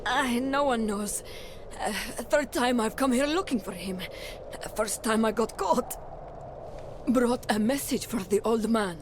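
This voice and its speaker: A woman playing an injured, weary but defiant messenger in a fantasy game, speaking in halting, clipped phrases through pain. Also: on the high side, background noise, close to an everyday voice. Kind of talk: dramatic fantasy game acting. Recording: music behind the voice.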